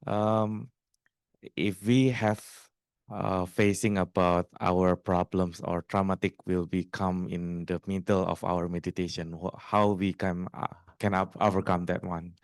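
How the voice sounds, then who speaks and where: An Indonesian-accented man puts a polite question from the audience. His voice is deep, smooth and monotone, and he searches hesitantly for his words. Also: a little slow, no room sound. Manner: hesitant, searching for words in non-native English. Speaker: audience member asking a question.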